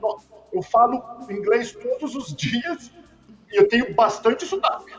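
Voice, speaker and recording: Um homem brasileiro de meia-idade, empresário, falando de forma franca e autocrítica. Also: male voice, very high-pitched, animated, measured pace, a bit bright, muffled recording, faint music behind. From Brazil. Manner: candid, self-deprecating. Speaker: businessman, guest.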